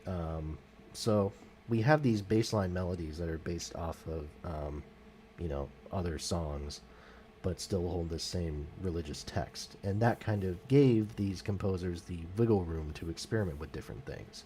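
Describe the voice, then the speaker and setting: A man with a very low voice talks through music history in a loose, unscripted way. He fills pauses with ums and often corrects himself mid-sentence. A faint hiss sits under the recording. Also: mellow. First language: English. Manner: hesitant, many fillers and self-corrections. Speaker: music vlogger. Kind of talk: casual spoken music commentary.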